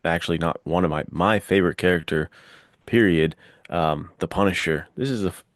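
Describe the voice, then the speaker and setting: A man's voice: sits low, brisk, no room sound, clean studio sound. A man co-hosting a casual podcast discussion, explaining things with enthusiasm. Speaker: podcast co-host.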